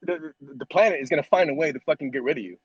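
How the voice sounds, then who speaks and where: A man's voice: fairly high pitch, rapid-fire, slightly rough, dry recording, highs cut off. A man co-hosting a casual podcast chat who stammers, repeats his words and swears freely. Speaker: podcast co-host.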